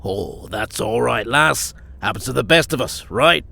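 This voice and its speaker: A gravelly, folksy fantasy baron. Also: male voice, medium pitch, animated, unhurried, bright, forceful tone, heavily acted. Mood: breezy, amused. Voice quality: gravelly, rough. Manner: folksy, overfamiliar. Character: uncouth, jovial newly made fantasy baron. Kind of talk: fantasy audio drama acting.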